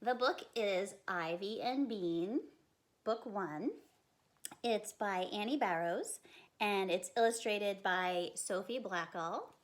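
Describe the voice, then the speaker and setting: A woman hosting a read-aloud, narrating a storybook expressively and talking directly to young children. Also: medium pitch.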